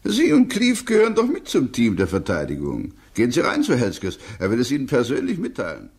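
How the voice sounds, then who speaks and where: A man playing a pessimistic, grumbling chief clerk of a law office in a radio play, husky, emphatic and disapproving. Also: mid-range voice, huge pitch swings, fairly quick, exaggerated voice. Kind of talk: German radio drama acting.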